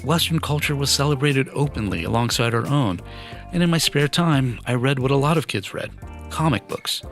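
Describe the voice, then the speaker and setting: A man in his fifties who grew up in Iran with Farsi as his first language, narrating a personal story from a script in an earnest, measured way. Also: mid-range voice, expressive, a bit bright, faint music behind.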